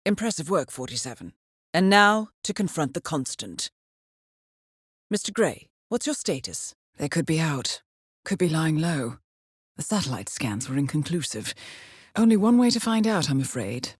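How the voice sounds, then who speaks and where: An actress playing a composed, dryly witty intelligence handler in a video game, briefing an assassin in a formal, understated, ironic manner. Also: female voice, low voice, very animated, moderate pace, husky, no echo, studio quality, heavily acted. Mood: composed, businesslike. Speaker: mission handler.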